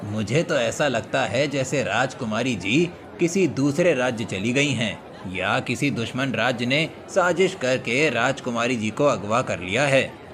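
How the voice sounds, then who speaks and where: A man's voice: mid-range voice, lively intonation, unhurried, hardly any pauses, fairly bright tone. Man playing a worried royal searcher, faint noise behind. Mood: suspicious. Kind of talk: Hindi cartoon moral story dialogue. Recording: outdoor crowd and ambient noise.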